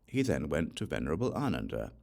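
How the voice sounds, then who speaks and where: A very low male voice with a gravelly creak reads an ancient scripture aloud. He narrates in a deliberate, measured storytelling manner, with lively rises and falls in pitch. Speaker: audiobook reader.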